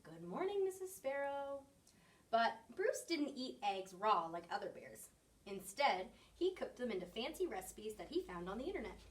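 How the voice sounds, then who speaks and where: Animated woman reading a picture book. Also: medium pitch, moderate pace, recorded from a distance, a little hiss or hum. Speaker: story reader. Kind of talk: expressive picture book read-aloud for children.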